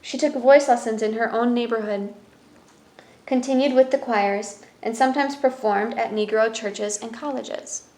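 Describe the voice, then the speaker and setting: A woman's voice: a little high, steady tone, moderate pace, mellow, reverberant, poor audio. A woman reading a children's picture book aloud as a storyteller, in narration with brief explanatory asides.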